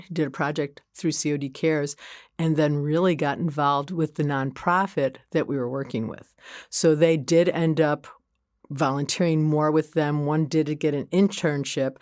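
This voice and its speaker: A woman with a Chicago accent, a college community engagement coordinator chatting as a guest in a casual interview, folksy and quick to laugh. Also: low voice, a little mellow, dry recording, studio quality.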